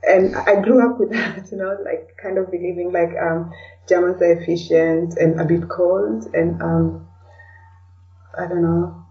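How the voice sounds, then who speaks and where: A woman from Kenya speaking as a podcast guest in a casual, reflective way, often pausing to search for words. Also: fairly low pitch, little pitch movement. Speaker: interview guest, blogger and podcaster. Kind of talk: casual conversational interview. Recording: distant, dull lo-fi call sound.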